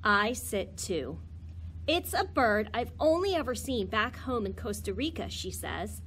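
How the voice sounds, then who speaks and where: A woman reads a storybook to children at an unhurried pace. Her voice is slightly high and moves around a lot, with a little ambience behind it. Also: big pitch swings, a bit bright, a little hiss or hum. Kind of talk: children's read-aloud.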